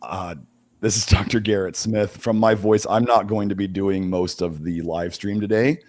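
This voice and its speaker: A middle-aged man with a hoarse voice hosting a casual livestream, talking in halting bursts with many restarts. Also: on the low side, fairly even intonation, darkish tone. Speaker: host.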